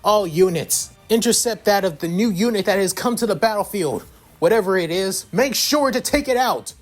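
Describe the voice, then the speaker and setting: A man's voice: high-pitched, expressive, normal speed, faint music behind, voice pushed up. A man doing an agitated prince barking orders. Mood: frustrated. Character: frustrated young male prince commander.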